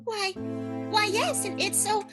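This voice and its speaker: A woman's voice: extremely high pitch, measured pace. A woman playing a sweet, innocent, timid ingenue who stammers hesitantly in an improvised radio play. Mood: wary, wondering. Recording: music behind the voice.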